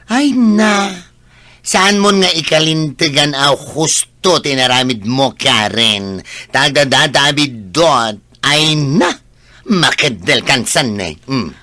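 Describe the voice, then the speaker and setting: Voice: mid-range voice, lively intonation, slow, sharp tone. An actor with a Filipino accent playing a frank, reproving friend in a radio drama. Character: frank, reproving friend advising a troubled mother.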